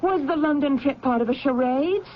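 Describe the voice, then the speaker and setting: A woman's voice: sits high, a little fast, lightly acted. An actress playing an insistent wife searching for her husband in a 1970s radio drama, chatty, theatrical and pressing. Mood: insistent, puzzled. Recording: muffled, faintly noisy old radio recording.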